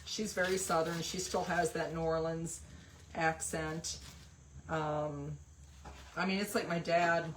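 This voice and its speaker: A woman's voice: sits low, little pitch movement, takes it slowly, slight background noise, rough recording. A woman hosting a craft livestream, chatting casually and rambling with lots of fillers while she paints. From West Virginia, USA.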